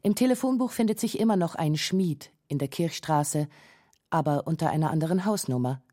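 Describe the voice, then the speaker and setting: A woman's voice: sits low, lively intonation, fairly quick, dark tone, no room sound, studio quality. A woman reading scripted narration for a radio documentary feature.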